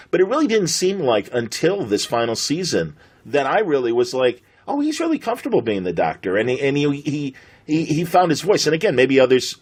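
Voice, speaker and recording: A man's voice: on the high side, husky. A middle-aged American man hosting a podcast, chatting casually and enthusiastically as an interviewer. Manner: enthusiastic, fannish.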